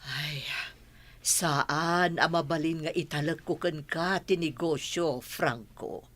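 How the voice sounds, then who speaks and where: Slow, low-voiced businesswoman mother. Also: fairly bright tone, exaggerated voice. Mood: exasperated, firm. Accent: Ilocano. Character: firm, exasperated mother who owns a furniture shop. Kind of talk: Ilocano television drama acting.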